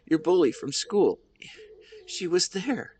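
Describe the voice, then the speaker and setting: A somewhat higher-pitched male voice carries a grieving husband as a man acts him out in an intimate audio drama. He speaks tenderly to the wife he lost, halting with emotion and full of devotion. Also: sing-song, dark tone, a little hiss or hum, heavily acted. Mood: tender, bittersweet. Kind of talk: intimate audio roleplay monologue.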